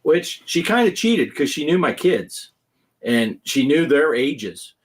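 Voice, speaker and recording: A man's voice: on the high side, sing-song, takes it slowly, slightly rough. A man in his fifties hosting a casual gaming podcast, telling stories with lots of laughs and acting out quoted lines. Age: 50s. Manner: chatty storyteller who laughs often and acts out quoted lines. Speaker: podcast host. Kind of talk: casual chat.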